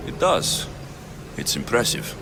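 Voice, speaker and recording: A man's voice: mid-range voice, wildly swooping pitch, slow pace, creaky, noisy background, full character voice. A man voicing a wary, terse warrior infiltrator in a video game, guarded and musing. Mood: wary, pondering.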